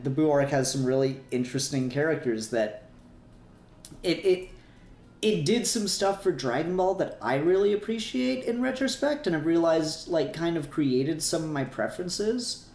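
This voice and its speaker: A man's voice: fairly high pitch, animated, normal speed, a little mellow. A man co-hosting a podcast, talking casually and at rambling length about fan topics, with some swearing. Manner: rambling, profane. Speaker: podcast co-host. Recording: roomy, echoey voice recording.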